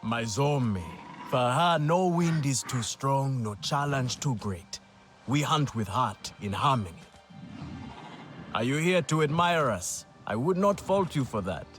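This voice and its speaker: A man's voice: medium pitch, lively intonation, unhurried, fairly bright tone. A man playing a proud, boastful young alien hunter with a cocky, grandiose manner in a game cutscene, music behind. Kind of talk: video game cutscene acting.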